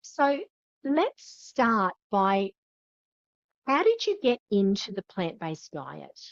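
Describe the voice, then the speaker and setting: A woman's voice: mid-range voice, big pitch swings, takes it slowly, no echo, highs cut off. A woman hosting an interview, introducing her guest in an enthusiastic way. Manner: enthusiastic, upbeat. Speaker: host.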